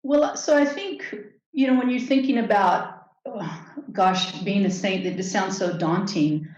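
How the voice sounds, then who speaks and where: A woman's voice: mid-range voice, measured pace, a little mellow. A woman co-hosting, sharing her faith earnestly and hesitantly, with frequent restarts. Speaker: co-host. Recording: echoey muffled room audio.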